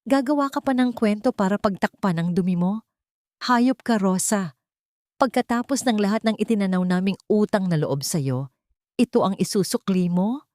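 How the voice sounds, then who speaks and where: A woman's voice: mid-range voice, normal speed, darkish tone, no echo, voice pushed up, natural voice. A wealthy, deceitful wife in an audio drama, acted out by a woman. She is scornful and theatrical, with an accusing edge under her polish. The sound is dry and close. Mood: venomous, scornful. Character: wealthy, venomous, deceitful wife, feigning injured grace.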